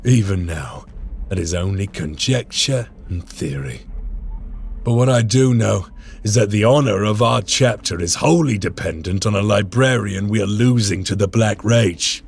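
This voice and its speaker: A grave male space marine commander speaks with a low, gravelly voice. He is solemn and formal, a duty-bound soldier in a dramatic game cutscene, with faint music behind him. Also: measured pace, exaggerated voice. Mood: grave, brooding. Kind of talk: dramatic scripted game acting.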